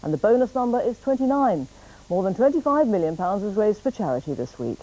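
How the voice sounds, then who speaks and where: A woman's voice: mid-range voice, big pitch swings, a little fast, dark tone, low-quality recording. A British woman reading scripted news as a television bulletin presenter.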